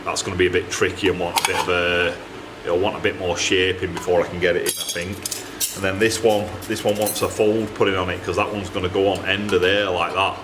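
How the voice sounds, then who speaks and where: A man with a low voice and a Northern English accent chats to camera in a loose, rambling, self-deprecating style. His speech is colloquial and down to earth, recorded in a workshop with some background noise. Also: little pitch movement, a little fast. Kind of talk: casual chat to camera. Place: metalworking workshop, indoors.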